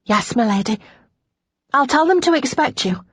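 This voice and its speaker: A woman voicing an excitable, deferential lady's maid in an audiobook, speaking with urgency. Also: high voice, normal speed, husky, no echo, pitched above the performer's own voice, full character voice. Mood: earnest, concerned. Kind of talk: audiobook character dialogue.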